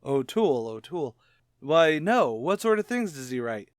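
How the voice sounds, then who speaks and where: An actor playing an urbane, bookish male guest lecturer with a polite, measured manner in old-time radio comedy. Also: fairly high pitch, very animated, dry recording, studio quality, pitched below the performer's own voice. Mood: dry, urbane. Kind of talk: 1940s radio comedy acting.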